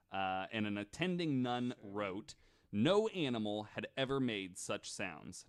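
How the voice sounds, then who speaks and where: A man with a voice of everyday pitch hosts a casual podcast. He relates a story from his notes in a loose, relaxed way. He pads it with fillers and restarts. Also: clear, resonant tone. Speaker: podcast host.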